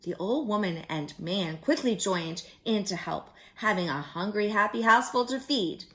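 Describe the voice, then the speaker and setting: A woman reading a story aloud to young children like a teacher, expressively, pausing to add simple explanatory comments. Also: mid-range voice, expressive, fairly bright tone.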